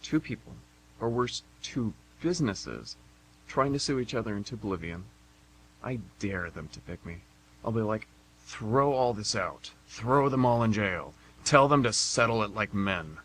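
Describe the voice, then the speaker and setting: A man's voice: lowish voice, animated, a little slow, a bit bright, low-quality recording. A man playing a cocky, flippant young unemployed slacker in an amateur radio play, with a sardonic delivery. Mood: scornful. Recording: amateur radio, faint background hum.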